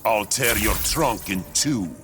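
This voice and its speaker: Haughty warrior king, low pitch, menacing command, game character, loud music underneath. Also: expressive, takes it slowly. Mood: haughty threat.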